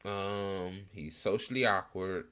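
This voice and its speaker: A man hosting an informal political talk show, speaking in a blunt, sarcastic, profanity-heavy way with halting repetition. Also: low voice, sharp tone, clean tone. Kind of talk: informal political rant with commentary.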